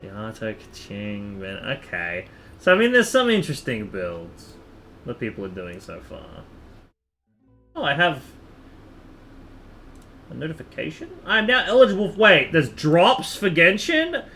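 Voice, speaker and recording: A man's voice: fairly high pitch, very animated, a little slow, pauses often, pushed and bright, soft background music. A man streaming a game in casual chat, rambling and thinking aloud with the odd casual swear, over noticeable background noise. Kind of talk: casual live stream chat while gaming.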